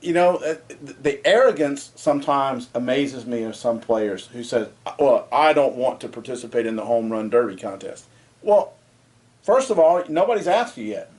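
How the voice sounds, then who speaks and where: A man's voice: mid-range voice, animated, moderate pace, a little mellow. Opinionated man in a sports chat. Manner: plainspoken, gets fired up with strong opinions.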